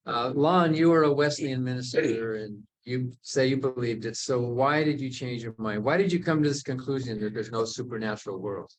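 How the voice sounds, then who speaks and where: A man's voice: mid-range voice, moderate pace, few pauses, low-quality recording. A man moderating a panel discussion, asking short and friendly questions.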